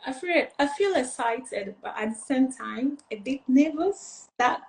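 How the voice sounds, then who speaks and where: A woman's voice: sits high, animated, unhurried, roomy sound. A woman from eastern Nigeria, a pageant finalist, answering interview questions in an earnest, rambling way with many fillers.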